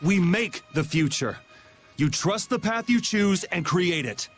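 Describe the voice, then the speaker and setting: An actor playing a defiant young hero in a video game, blunt and determined. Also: male voice, sits high, lively intonation, measured pace, faint music behind. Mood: firm. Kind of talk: dramatic video game voice acting.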